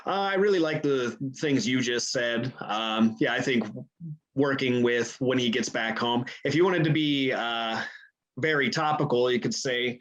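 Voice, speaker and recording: Man chatting tentatively, mid-pitched. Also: measured pace, a bit bright, rough recording. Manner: tentative, thinking aloud. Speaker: writer, panel guest. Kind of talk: casual chat.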